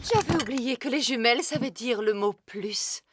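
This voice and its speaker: A woman playing a gentle, patient fairy queen and mother in a children's cartoon dub, polite and motherly. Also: fairly high pitch, expressive, moderate pace, very noisy, close to an everyday voice. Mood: encouraging.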